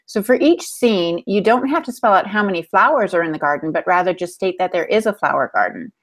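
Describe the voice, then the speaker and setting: A woman's voice: lowish voice, lively intonation, fairly quick. A woman bantering as a panelist on a casual writing podcast, playful and sarcastic in her manner. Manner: playful, mock-offended sarcasm.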